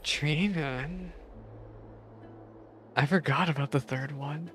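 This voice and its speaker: A woman's voice: low voice, slow pace. A woman streamer giving casual live reactions to a game in dry, muttered asides.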